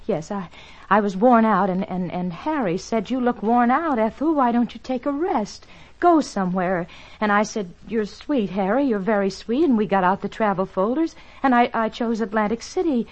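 An actress playing a nervous, fluttery wife who stammers and chatters, in old radio drama style. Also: female voice, fairly high pitch, lively intonation, normal speed, darkish tone. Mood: flustered. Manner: flustered, stammering. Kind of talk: 1950s radio drama acting. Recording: dull old radio recording.

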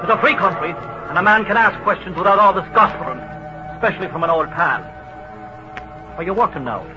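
An actor playing a suspicious, needling Irish tough who feigns friendliness, with an Irish accent, in old film drama style. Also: male voice, high voice, a little fast, music in the background, lightly acted. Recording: dull old film soundtrack.

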